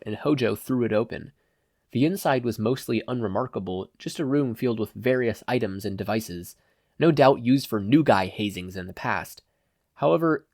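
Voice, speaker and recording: A man's voice: mid-range voice, darkish tone, no echo. Man narrating fiction, dry sound. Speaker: podfic narrator. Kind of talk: scripted narration of fan fiction.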